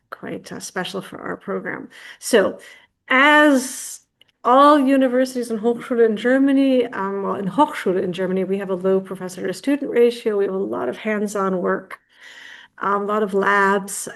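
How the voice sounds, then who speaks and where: American woman professor, chatty presenter on a video call, easygoing tone. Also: medium pitch. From United States. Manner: chatty, jokes and laughs lightly. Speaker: professor presenting a degree program. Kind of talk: online info session presentation.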